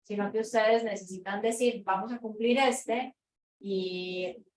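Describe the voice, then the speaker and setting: A woman's voice: mid-range voice, steady tone, a little slow. Colombian woman lecturing in an echoey room. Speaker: conference speaker, researcher. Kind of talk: lecture presentation to an audience. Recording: echoey room, distant mic, background noise.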